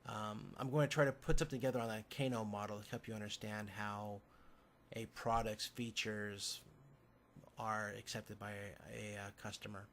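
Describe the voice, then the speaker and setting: A man lecturing from slides, explaining step by step in a halting way. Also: on the low side, steady tone, a bit bright, a little hiss or hum.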